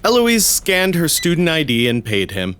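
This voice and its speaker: A man's voice: fairly high pitch, wildly swooping pitch, normal speed, a bit bright, a little hiss or hum. A man narrating a scripted audio story.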